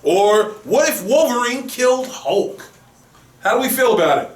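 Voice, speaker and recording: A man's voice: very high voice, expressive, moderate pace, far from the mic. Echoey man hosting a casual podcast. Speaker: podcast host. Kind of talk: casual geek news podcast chat. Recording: roomy echo, off the mic.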